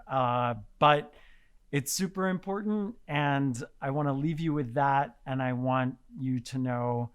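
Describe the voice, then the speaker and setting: A man in his forties speaks with sincere, informal gratitude in a middle-pitched voice. His delivery is relaxed and personal. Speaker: award recipient. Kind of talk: recorded acceptance speech.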